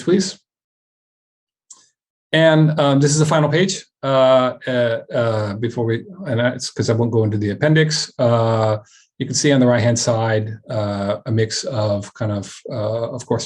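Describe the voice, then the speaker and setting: A man with a mid-pitched voice gives a business presentation as a company chairman on an earnings call. His delivery is semi-scripted and halting, with frequent restarts and repeated words. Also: a little mellow.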